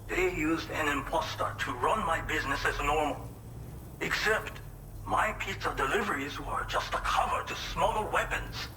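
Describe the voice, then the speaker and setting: An actor playing an earnest, grateful pizza chef in a video game cutscene, explaining things in a measured way. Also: male voice, on the high side, bright, forceful tone, echoey room, a little hiss or hum, low-quality recording.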